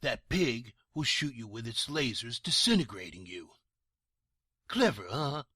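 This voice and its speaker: A surly, bossy thug acted by a man in a noir radio drama. His mid-pitched voice is hard and forceful with a rough rasp, and he sounds impatient and slangy. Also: very animated, a little slow, no echo, studio quality, full character voice. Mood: smug menace. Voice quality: rough, raspy. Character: surly, bossy, self-satisfied male gangster thug.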